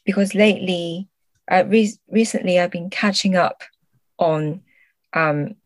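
A woman's voice: fairly low pitch, unhurried, dry recording. A woman speaking as a literary translator in an interview, reflective and hesitant as she searches for words.

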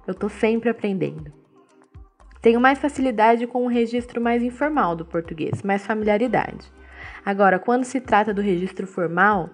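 A woman giving interview answers in a measured, steady, explanatory way, voicing them in translation. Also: a little high.

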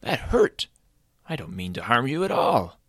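A man's voice: big pitch swings, moderate pace, a bit bright, breathy edge, no echo. A man voicing a small, kind, blue-skinned male creature who welcomes a stranger into his home. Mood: friendly. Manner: high, eager, welcoming.

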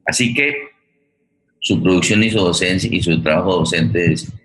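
A man's voice: sits low, moderate pace, a bit bright, poor audio. A Colombian man hosting a live interview, effusive and courteous, repeating words for emphasis. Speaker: host of a radio theatre program.

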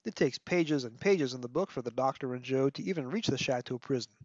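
A man hosting a podcast with scripted narration, measured, articulate and wry, like a literary review. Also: medium pitch, no room sound, muffled recording. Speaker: podcast host.

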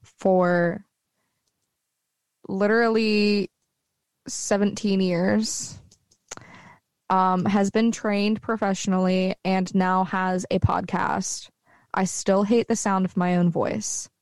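A woman with a low speaking voice, co-hosting a podcast in relaxed, chatty conversation on her own microphone at home. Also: slow, long pauses, clear, resonant tone, dry recording. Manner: relaxed, chatty, laughs easily. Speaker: podcast co-host. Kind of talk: casual chat. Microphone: own microphone with bass roll-off.

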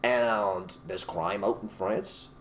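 A man's voice: lowish voice, slow, slight background noise. A man co-hosting a casual talk show, ranting emphatically and mimicking people in put-on voices. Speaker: podcast co-host. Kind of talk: opinionated casual chat.

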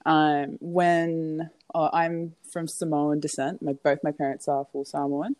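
Low-voiced easygoing Australian woman. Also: flat delivery, a little slow, a little mellow, no echo. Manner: easygoing, laughs often, hesitant fillers. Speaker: podcast guest. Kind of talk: casual interview chat.

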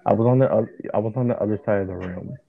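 A man co-hosting a casual chat podcast, talking loud in a flat monotone. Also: fairly low pitch, fast, gravelly. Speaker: podcast co-host. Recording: tinny phone-like line.

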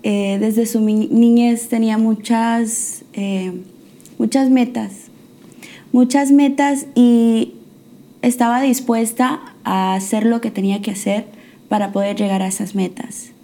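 A woman's voice: on the high side, level tone, unhurried, dark tone. A young woman from the United States, a guest on an interview show, telling her faith story in a hesitant way with many fillers and restarts. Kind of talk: testimony interview.

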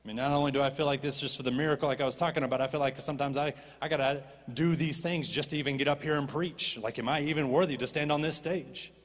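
A man preaching a sermon as a pastor, animated and rising to impassioned pleading. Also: on the high side, fast talker, hardly any pauses. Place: church stage. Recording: long reverb of a church hall.

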